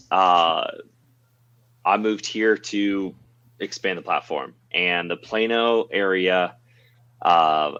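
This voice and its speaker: A man answering as a podcast guest in a casual, halting way, piecing his phrases together. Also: low-pitched, a bit bright, clear, resonant tone, no echo, dull audio. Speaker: podcast guest, company founder.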